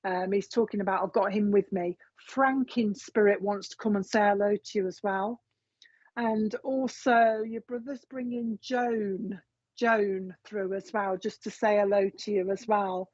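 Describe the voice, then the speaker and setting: A woman's voice: medium pitch, fairly even intonation, a little slow, a little mellow, no room sound. A woman giving a psychic reading, chatting casually and relaying messages with lots of fillers and asides. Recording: dull, dry lo-fi sound.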